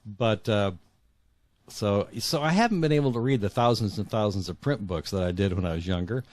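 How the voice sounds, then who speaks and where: A middle-aged man with a deep, low voice, recorded dry and close. He speaks earnestly as an interview guest, halting and repeating words as he works out his answers. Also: a little mellow, dry recording.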